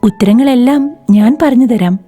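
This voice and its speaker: A woman's voice: fairly high pitch, moderate pace, mellow, soft background music, close to an everyday voice. A woman voicing a helpful talking magic pen in a children's cartoon story, obliging and instructive.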